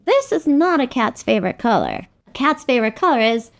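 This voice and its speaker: Woman doing a goofy playful auntie for a kids show, high voice swooping all over. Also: very animated, a little fast. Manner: playful, exaggerated. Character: playful, silly cartoonish auntie sidekick. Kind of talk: children's show sketch.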